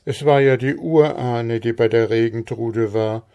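A man's voice: medium pitch, measured pace, dark tone, lo-fi sound, pitched above the performer's own voice, natural voice. A man voicing a motherly peasant widow in a storybook reading, earnest and instructing. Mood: earnest concern. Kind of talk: fairy-tale storybook reading.

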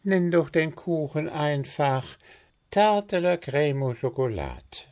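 Man putting on a high chirpy gnome buddy, teasing, kids story. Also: very animated, slow, a little mellow. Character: cheerful male gnome friend Willi.

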